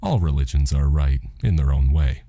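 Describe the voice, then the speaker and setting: Audiobook God, played by a male narrator: extremely low, dark, husky, unruffled, deadpan and reassuring. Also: moderate pace, no echo, exaggerated voice. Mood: calm. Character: matter-of-fact male God, calm, casual and reassuring. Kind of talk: audiobook character dialogue.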